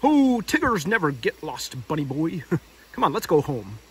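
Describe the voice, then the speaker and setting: A man's voice: on the high side, big pitch swings, measured pace, breathy edge, heavily acted. A man voicing a bouncy, happy-go-lucky tiger from a storybook, with folksy exclamations. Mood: cheerful, cocky.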